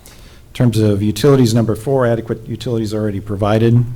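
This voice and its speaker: A man on the city staff walks a zoning board through his report in a low, level voice with a dark, mellow tone. He sounds measured and procedural, pausing on small fillers as he goes. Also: fairly even intonation. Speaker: city planning staff presenter. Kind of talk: reading a staff report at a public meeting. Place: city council chamber.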